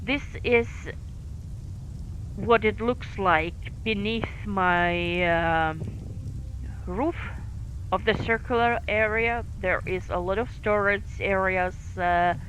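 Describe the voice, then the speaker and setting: A woman from Finland with a light Finnish accent, commentating her own live game stream, halting and filling gaps with fillers as she thinks aloud while playing. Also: mid-range voice, fairly bright tone, a little hiss or hum, low-quality recording. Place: home in France.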